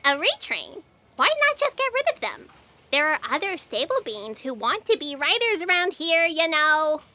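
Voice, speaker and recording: A woman acting as an earnest, idealistic female fact checker in a comic radio play. The voice is very high and bright and swings in pitch as it questions everything. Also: very animated, normal speed, full character voice. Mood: indignant. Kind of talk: scripted audio comedy drama acting.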